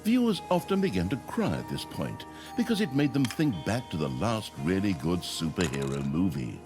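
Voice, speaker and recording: Male game narrator, mock-epic and wry, sweeping highs and lows, music underneath. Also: wildly swooping pitch, moderate pace. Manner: theatrical, mock-grand storyteller with wry delivery. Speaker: narrator of a fantasy video game. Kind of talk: scripted comedic story narration.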